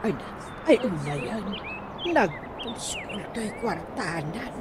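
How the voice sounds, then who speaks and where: Radio comedy role: chatty, scheming wife played by a woman, slow and exclamatory, noisy backdrop. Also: mid-range voice, big pitch swings. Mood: sly, muttering. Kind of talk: Ilocano radio comedy drama acting.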